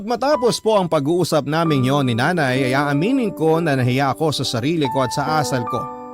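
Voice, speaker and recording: A Filipino man reading a first-person story as a radio narrator, earnest and confiding. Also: fairly high pitch. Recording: music playing under the voice.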